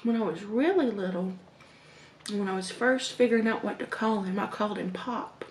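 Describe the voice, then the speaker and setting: A woman in her thirties with a Southern American accent, slowly telling stories while eating at her dining table at home. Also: mid-range voice, echoey room, a little hiss or hum. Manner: slow, reflective, with hums and soft laughs between bites. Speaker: mukbang vlogger. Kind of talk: casual storytelling while eating. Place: home dining room, indoors.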